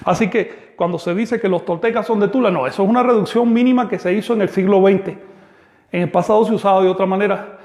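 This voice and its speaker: A man giving an online language lesson, explaining terms slowly and deliberately. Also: high-pitched, a little mellow. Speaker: language teacher. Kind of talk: online lecture. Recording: echoey room.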